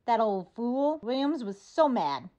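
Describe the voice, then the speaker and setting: A woman acting in old-time radio style as a folksy, excitable, garrulous middle-aged mother who is proud of her sailor son. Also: fairly high pitch, a little slow, muffled recording. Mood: indignant, gossipy.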